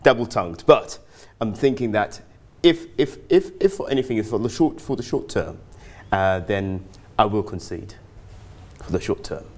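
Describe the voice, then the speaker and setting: A middle-aged man pitching stocks off the cuff as a studio guest, hesitating and repeating phrases. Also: animated, normal speed. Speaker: investment analyst, show guest. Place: TV studio, standing.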